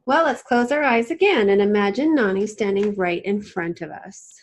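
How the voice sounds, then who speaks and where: A woman voicing a patient, encouraging mother in a children's storybook, with a gentle, coaxing manner. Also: mid-range voice, expressive, normal speed, lightly acted.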